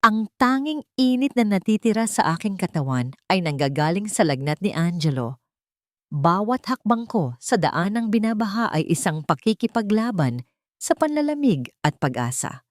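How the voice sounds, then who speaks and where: A woman's voice: mid-range voice, very animated, moderate pace, no room sound, studio quality. Woman narrating an emotional audiobook. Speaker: storyteller. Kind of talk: first-person dramatic audiobook narration.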